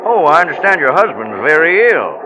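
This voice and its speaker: Railway conductor: courteous, deferential, animated delivery in 1940s radio style, clearly noisy vintage recording. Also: male voice, a little high, fairly quick. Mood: polite, obliging. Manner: polite, deferential, sympathetic. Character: courteous, obliging male train conductor. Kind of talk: 1940s radio drama acting.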